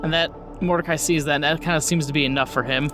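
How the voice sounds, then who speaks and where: A man's voice: fairly high pitch, steady tone, quick pace, music in the background. A man narrating a tabletop game as game master, casual and improvised, feeling for words as he goes. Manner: informal, searching for words.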